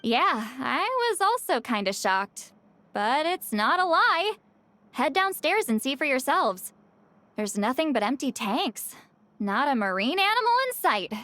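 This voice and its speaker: A high-voiced, prickly, self-conscious game heroine. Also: female voice, big pitch swings, unhurried, bright, forceful tone, full character voice. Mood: nonchalant. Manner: huffy, dry, sighing. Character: prickly, self-conscious woman, easily embarrassed, fond of slacking. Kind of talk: animated game voice acting.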